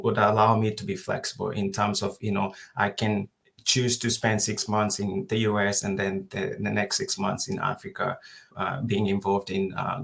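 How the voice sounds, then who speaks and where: A man in his thirties from Kenya, giving an unhurried webinar lecture over a video call and pausing often to think aloud. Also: lowish voice, creaky, fairly bright tone. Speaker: guest presenter.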